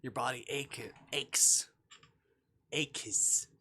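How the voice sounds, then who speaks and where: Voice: medium pitch, fairly even intonation, drawn-out words. A streamer chatting casually and reading a visual novel aloud, rambling, jokey and easily sidetracked.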